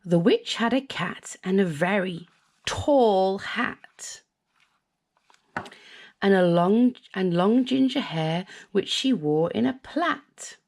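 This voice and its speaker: A woman with a British accent reading a children's picture book aloud in an expressive, sing-song way, with asides to young listeners. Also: mid-range voice, slow, creaky. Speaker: storyteller, children's channel host.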